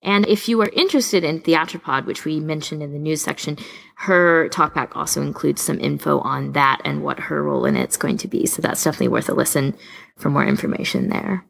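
A woman's voice: low voice, brisk, vocal fry. A woman co-hosting a podcast in casual, good-humoured chat. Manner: good-humoured, given to laughing as she talks. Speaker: podcast co-host.

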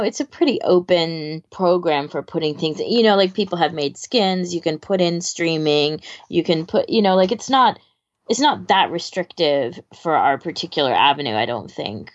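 A woman co-hosting a podcast in a casual, conversational way, on slightly lo-fi audio. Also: fairly low pitch. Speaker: podcast co-host. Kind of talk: casual chat.

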